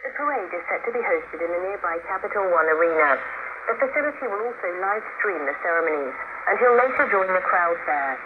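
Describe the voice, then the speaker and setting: Female news anchor, formal measured read, very noisy shortwave broadcast. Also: lowish voice, phone-quality sound. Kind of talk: scripted news reading. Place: broadcast studio, heard over shortwave radio.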